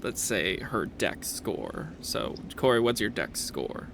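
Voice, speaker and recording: A man's voice: fairly low pitch, animated, slow pace, vocal fry, fairly bright tone, slight background noise. A man acting as game master, casually narrating and calling for checks.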